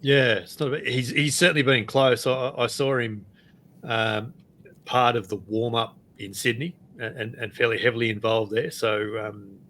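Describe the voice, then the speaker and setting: A man's voice: medium pitch, steady tone, normal speed, a bit bright, a little hiss or hum. A man hosting a rugby podcast in casual chat, steering the talk and asking follow-up questions. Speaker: podcast host.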